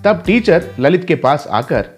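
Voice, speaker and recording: A man's voice: a little high, sing-song, a little slow. A man reading scripted children's story narration, with light music underneath.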